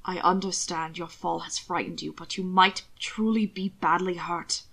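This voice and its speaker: A woman reading the part of a worried, commanding male aristocrat in a lowered, measured voice. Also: fairly even intonation, gravelly, a bit bright. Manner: low, measured, stern.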